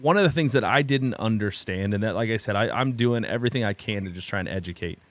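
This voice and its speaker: A man in his thirties from Oklahoma, a pastor talking in a halting, reflective way with many restarts during a candid conversation. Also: fairly low pitch. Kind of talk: candid conversation on a video call.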